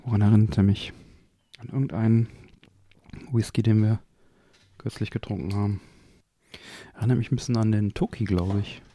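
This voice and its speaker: Man with a mellow, low voice. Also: fairly even intonation, normal speed, pauses often, no room sound. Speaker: podcast host. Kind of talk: casual chat.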